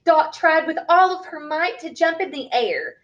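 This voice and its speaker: A woman reading a picture book aloud for young children in an expressive, deliberate way, as a storyteller. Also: high-pitched, highs cut off.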